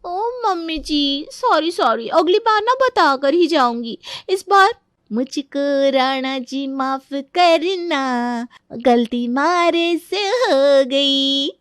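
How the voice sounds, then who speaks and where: An actress playing a carefree young daughter-in-law, wheedling and childlike, in a comic family story. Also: female voice, sits high, expressive, drawn-out words, fairly bright tone, no room sound, studio quality, exaggerated voice. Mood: coaxing apology. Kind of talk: animated family comedy story acting.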